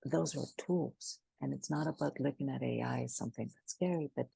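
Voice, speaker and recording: A woman speaking as the expert guest in an interview, animated and emphatic, often restarting her sentences. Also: extremely low pitch, fairly quick, vocal fry, husky, dry recording. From Greece. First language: Greek. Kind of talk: conversational interview.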